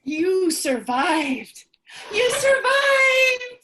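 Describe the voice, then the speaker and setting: A woman's voice: very high-pitched, sing-song, drawn-out words, bright, forceful tone, a little hiss or hum, exaggerated voice. A woman playing a lone, anxious apocalypse survivor in a theatrical stage monologue, wry and conversational. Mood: overjoyed disbelief.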